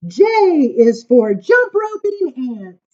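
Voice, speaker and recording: A woman reading children's poems aloud at story time, informal, with dramatic emphasis and drawn-out words. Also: sits high, big pitch swings, a little mellow, dull audio. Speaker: library story time reader.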